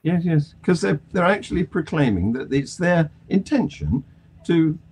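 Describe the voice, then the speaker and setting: A man's voice: on the high side, very animated, moderate pace, darkish tone, breathy edge. Older British man, wry manner. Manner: wry, jokey. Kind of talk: casual panel discussion. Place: room at home. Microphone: video call.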